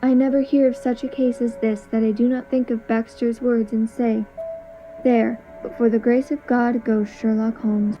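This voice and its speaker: A woman playing a grave, merciful Victorian male detective in an amateur audio drama, speaking in a measured, formal way. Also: on the high side, flat delivery, warm tone, lightly acted. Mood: sombre, reflective. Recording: dull lo-fi recording, music behind.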